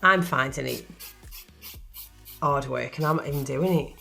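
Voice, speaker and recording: A woman's voice: low voice, moderate pace, gravelly, sharp tone, faint music behind. A woman chatting casually and asking questions beside a nail demo. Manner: giggly, teasing, asks questions. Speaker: co-host timing the demo. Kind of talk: casual chat.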